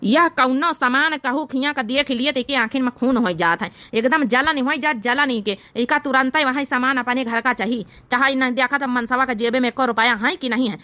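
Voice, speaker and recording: A woman puts on an exasperated village husband from a cartoon comedy, high-pitched and sharp in tone. The figure scolds, argues and grumbles in an Awadhi accent, recorded close and dry. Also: normal speed, hardly any pauses, no room sound. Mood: grumbling, alarmed. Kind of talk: exaggerated cartoon comedy dialogue.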